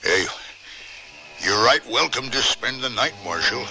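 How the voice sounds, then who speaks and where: An actor playing a kindly old homesteader with a folksy, rustic way of talking in an old-time radio western. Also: male voice, mid-range voice, animated, a little slow, heavily acted. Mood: flustered, grateful. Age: older. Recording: old radio drama under loud music.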